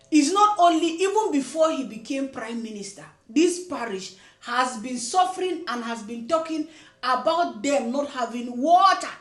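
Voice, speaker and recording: A woman hosting her own commentary channel, talking in a high, bright voice. She is chatty and emphatic, laughs often, and circles back over her points. Her voice rings in a noticeably echoey room. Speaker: channel host. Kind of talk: political commentary vlog.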